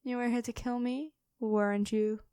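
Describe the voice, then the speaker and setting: A woman voicing an imperious, teasing female dragon queen in an ASMR roleplay, speaking to the listener with drawn-out, commanding delivery. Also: fairly high pitch, fairly even intonation, warm tone, smooth, dry recording, studio quality, lightly acted. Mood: smug, menacing.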